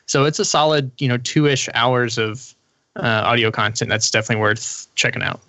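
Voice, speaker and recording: A man's voice: mid-range voice, flat delivery, normal speed, sharp tone, no room sound. A man giving his casual, enthusiastic opinion as a podcast panelist.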